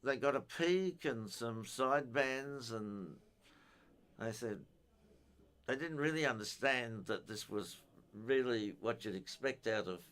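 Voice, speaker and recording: An older man giving an informal technical explanation in a halting, methodical way. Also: medium pitch, animated, fairly bright tone, clean tone. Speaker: amateur radio enthusiast giving a technical talk.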